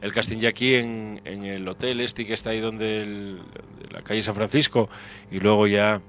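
A man's voice: on the low side, level tone, moderate pace, fairly bright tone. A man from Asturias with an Asturian accent, a guest in a casual radio chat, joking loosely and swearing casually.

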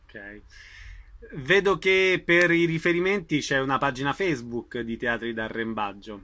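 A man's voice: medium pitch, unhurried, bright, forceful tone. A man hosting a radio interview, asking questions and summing up details.

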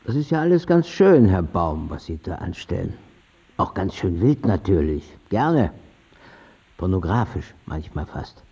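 A man's voice: sits low, sing-song, a little slow, vocal fry, warm tone, breathy edge, heavily acted. An actor playing a condescending, dismissive male art professor in a German radio play, speaking in a pointed, patronising way. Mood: politely patronising.

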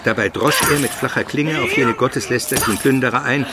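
A man's voice: medium pitch, brisk, lightly acted. A man playing an educated Byzantine minister who narrates his memories solemnly and vividly in a radio play. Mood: gripped, dramatic. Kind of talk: dramatic scripted first-person narration, radio play.

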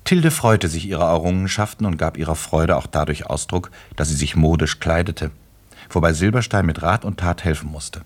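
Deep-voiced male radio play narrator. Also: normal speed. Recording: music behind the voice.

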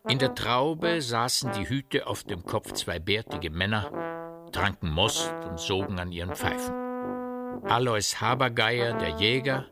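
A man's voice: on the low side, very animated, measured pace, gravelly, loud music behind the voice. A man narrating a radio drama in scripted literary prose.

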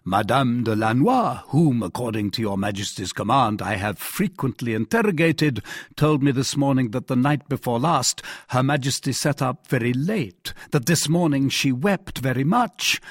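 Storybook cardinal, read by a man: scheming, deferential, formal, measured phrasing, husky timbre, close dry sound. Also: mid-range voice, lively intonation, no echo. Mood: measured, reluctant. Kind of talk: dramatised classic novel reading.

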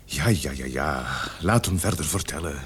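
A deep, husky, patient police officer. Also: male voice, animated, exaggerated voice. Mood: calm, restraining. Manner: measured, conciliatory. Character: calm, patient, fatherly senior male police officer. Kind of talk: radio drama acting.

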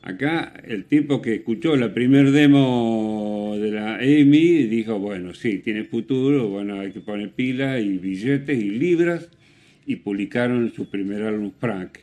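A man with an Argentine Spanish accent hosting a radio show, commenting casually on music with opinions in a rambling, halting way. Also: on the low side, warm tone. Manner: rambling, hesitant with self-corrections and rhetorical questions. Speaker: radio host.

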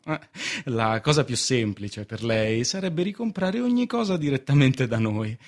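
An actor playing a smug, slyly polite arresting guard in a theatrical radio drama, condescending and insinuating. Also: male voice, mid-range voice.

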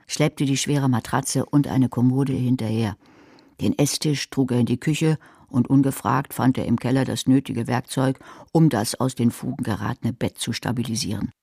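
A woman's voice: very deep voice, steady tone, normal speed, gravelly, warm tone, breathy edge, dry recording, exaggerated voice. A woman narrating a radio play as a calculating, wry character, with dry, sardonic delivery.

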